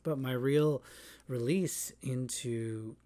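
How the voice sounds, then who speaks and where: A man giving a reflective spoken monologue as a theatre artist, talking thoughtfully with many pauses, fillers and restarts. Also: mid-range voice, warm tone. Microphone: voice-over, face not shown.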